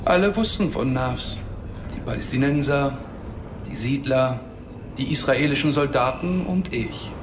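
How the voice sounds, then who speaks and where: A man's voice: medium pitch, measured pace, background noise, natural voice. An actor playing a melancholy, sardonic Palestinian father in a radio drama, speaking in a weary, understated way. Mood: grave. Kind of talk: radio drama dialogue and narration. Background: music under the voice.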